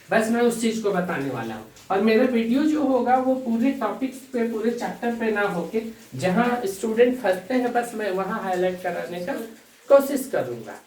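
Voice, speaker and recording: A male English grammar teacher talking slowly and deliberately, dictating example sentences as he writes, repeating key phrases, and addressing the class as dear students. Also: sits high, darkish tone. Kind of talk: bilingual grammar lesson. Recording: echoey room, distant mic, faint hiss.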